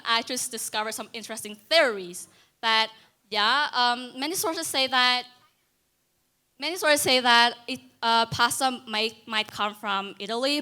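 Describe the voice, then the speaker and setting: Bright-voiced teen girl with a Vietnamese accent. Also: on the high side. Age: teens. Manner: earnest, with restarts and asides to the audience. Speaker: student speaker. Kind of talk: school stage talk. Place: school auditorium stage.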